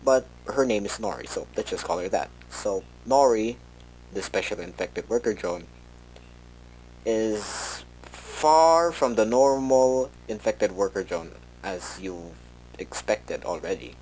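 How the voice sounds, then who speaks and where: Slow-talking, low-voiced man rambling through a research log. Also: expressive, darkish tone, a little hiss or hum, rough recording. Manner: hesitant, conversational, rambling, self-interrupting. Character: rambling robot-world researcher recording an informal log tape. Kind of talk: in-character monologue for a fan horror audio log.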